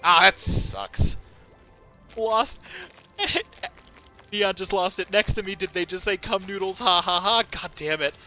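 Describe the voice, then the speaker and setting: Excitable Connecticut man, high and bright. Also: a little slow, soft background music. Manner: excitable, laughs often, jokey. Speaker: streamer. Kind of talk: casual gaming stream chat.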